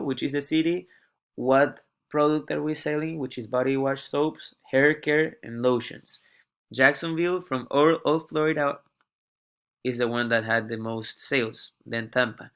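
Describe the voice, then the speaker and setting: A young male student talks through a class presentation while sick and coughing. He speaks in a halting way and often repeats a word before pressing on. His voice sits at an ordinary middle pitch. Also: bright, forceful tone. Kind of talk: class presentation walking through a data dashboard.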